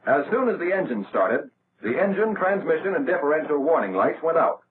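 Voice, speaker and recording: High-pitched American man, tinny 1940s film narration. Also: very animated, fairly quick. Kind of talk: scripted narration of a 1940s military instructional film. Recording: tinny, band-limited, noisy old film soundtrack.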